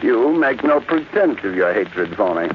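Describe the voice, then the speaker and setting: An actor playing a bitter, mocking old family patriarch in a theatrical old-time radio drama style. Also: male voice, lowish voice, sing-song. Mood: scornful. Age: older. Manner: theatrical, sardonic, drawn-out taunting. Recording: noisy muffled vintage radio recording.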